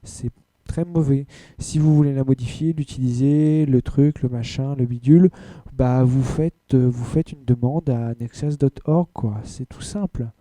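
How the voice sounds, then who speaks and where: Very mellow-voiced man hosting a podcast. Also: medium pitch, measured pace, dry recording. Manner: blunt, frank, loose with filler words. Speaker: podcast host. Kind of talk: casual news rundown and rant.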